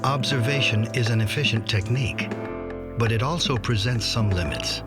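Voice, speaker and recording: A man narrating a nature documentary in a measured scripted delivery. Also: mid-range voice. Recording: music bed behind voice-over. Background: music.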